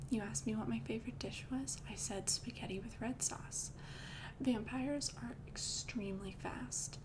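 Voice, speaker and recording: A young woman voicing a calm female vampire girlfriend in an ASMR roleplay, talking in a soft, chatty, reassuring whisper. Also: on the high side, background noise. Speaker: ASMR creator.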